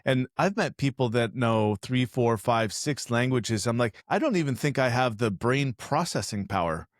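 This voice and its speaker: A man's voice: mid-range voice, lively intonation, normal speed, no room sound, clean studio sound. A man from Southern California hosting a casual interview podcast, chatty and joking as he rambles. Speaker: podcast host.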